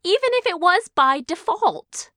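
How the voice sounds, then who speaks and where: A woman's voice: extremely high pitch, very animated, unhurried, sharp tone, no echo, studio quality, full character voice. A woman playing a self-absorbed, glory-hungry aunt in an audio drama, dramatic and self-important. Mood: condescending, shaken.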